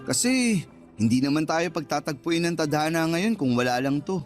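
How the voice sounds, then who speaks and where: Actor as higher-voiced Filipino sweet-talking suitor. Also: male voice, animated, moderate pace, lightly acted. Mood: earnest wooing. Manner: flirtatious, earnest. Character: persistent, sweet-talking suitor wooing his old flame. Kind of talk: Tagalog radio drama dialogue. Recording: faint music bed.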